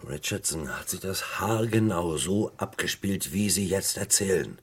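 A man's voice: low-pitched, animated, measured pace, slightly rough, heavily acted. An actor in a 1970s radio crime play voicing an incredulous, probing police inspector who echoes the answers he gets. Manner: questioning, echoes answers, swings from muttering to exclaiming.

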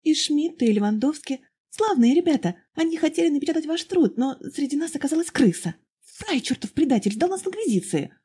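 A narrator voicing an excitable, earnest young woman who speaks emphatically in a storybook reading. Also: high voice, brisk, pitched above the performer's own voice. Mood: earnest, agitated.

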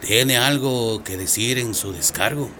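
A man's voice: medium pitch, a little slow, pushed and bright. A man playing an officious but easily flustered judge in a radio drama, official in tone and prone to correcting himself. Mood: stern, flustered. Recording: murmuring courtroom crowd behind.

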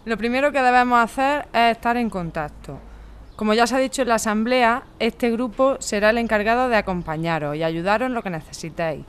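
A woman playing a calm, practical veteran housing activist in a radio drama, explaining things in an organised way. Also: a little high, brisk, clear, resonant tone, close to an everyday voice. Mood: calm, helpful. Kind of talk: amateur radio drama acting.

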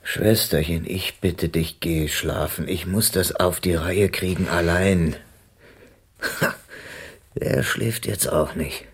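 A man's voice: low-pitched, fairly even intonation, slow pace, slightly rough, exaggerated voice. A man acting in a German radio drama as an exhausted, hunted private detective, weary, terse and brooding. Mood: weary, bitter.

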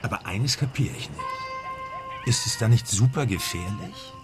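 An actor in a radio crime drama playing a cautious, inquisitive sleuth with a gravelly voice and questioning manner. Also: male voice, fairly low pitch, lively intonation, moderate pace, music in the background, close to an everyday voice. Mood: curious. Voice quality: gravelly, creaky.

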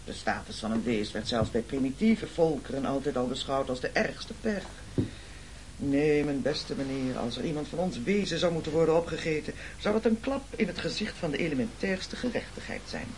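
An actor playing a pompous, scheming castaway boss in an absurdist comic radio play, speaking in grand, declamatory rhetoric. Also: male voice, fairly high pitch, lively intonation, normal speed, darkish tone, slight background noise, close to an everyday voice, rough recording. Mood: pompous self-pity.

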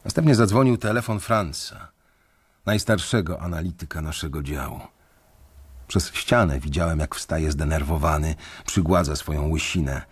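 A husky, low-voiced anxious bank clerk. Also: male voice. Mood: somber. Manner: measured, dry, wry storytelling. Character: anxious, sardonic male bank employee facing layoff. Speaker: narrator and bank analyst. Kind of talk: first-person radio drama narration.

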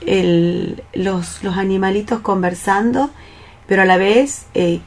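A woman's voice: medium pitch, takes it slowly. A woman chatting reflectively on radio, often repeating words as she searches for the next phrase. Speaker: radio programme co-host. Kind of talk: casual, reflective radio conversation. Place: radio programme conversation.

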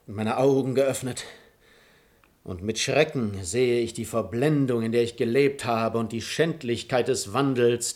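A man's voice: mid-range voice, close to an everyday voice. A man playing a proud, cynical nobleman and hypocrite in a German radio play, eloquent and declamatory.

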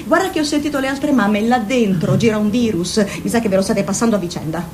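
A woman's voice: medium pitch, quick pace, darkish tone, a little hiss or hum, close to an everyday voice. A woman playing a chatty, doting middle-aged mother in a radio play, gushing and laughing often. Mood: fussing.

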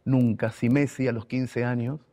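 A man's voice: medium pitch, steady tone, normal speed, dark tone. A man from Argentina with an Argentine accent, telling a personal story in an emotional, emphatic way, repeating words for weight. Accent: Argentine Spanish. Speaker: radio contributor and writer.

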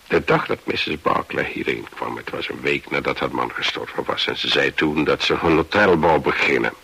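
An actor in a radio play voicing a folksy, evasive fishing warden, husky, hesitant and rambling, eager to please. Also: male voice, on the low side, sharp tone, full character voice, rough recording. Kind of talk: radio drama, dialogue and chatty monologue.

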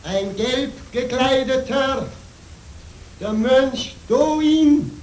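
An actor playing a stubborn, fervent wandering monk in a radio play, with a solemn, declamatory delivery. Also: male voice, very high voice, very slow, darkish tone, exaggerated voice. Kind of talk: German radio play acting. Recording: echoey, noisy old radio drama recording.

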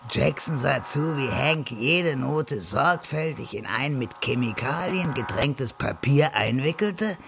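An actor playing the wry narrator of a hard-boiled story in a German radio crime drama. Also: male voice, mid-range voice, lively intonation, slow pace, vocal fry, hardly any pauses, noisy background.

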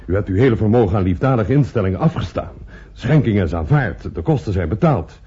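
A dry, resigned notary, explains things matter-of-factly in an old radio play. His husky voice moves up and down a lot, on a dull recording. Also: lowish voice, expressive, a little fast, mellow. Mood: matter-of-fact, reproving. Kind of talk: radio drama acting.

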